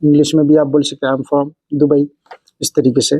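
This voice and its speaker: A man giving a casual spoken language lesson, repeating each example phrase slowly and explaining it word by word. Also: on the high side, flat delivery, warm tone, dry recording. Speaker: Arabic language teacher. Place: Saudi Arabia.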